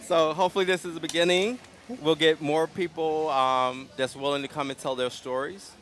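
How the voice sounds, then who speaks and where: Calm, gentle man hosting an interview. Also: on the high side, moderate pace. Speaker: interview host. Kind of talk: casual live interview. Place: outdoor street festival. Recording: outdoor live stream.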